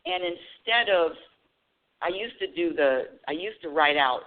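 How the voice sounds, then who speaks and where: A woman's voice: on the low side, animated, a little slow. A woman hosting a spiritual call-in radio show, talking earnestly and often restarting her phrases. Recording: tinny phone-line audio.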